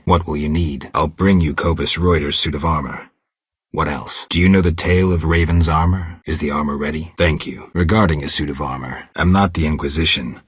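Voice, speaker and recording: A man voicing a stoic, world-weary monster hunter in a video game, terse and deadpan, with a gravelly, husky low voice. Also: no room sound, clean studio sound. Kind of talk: video game dialogue lines.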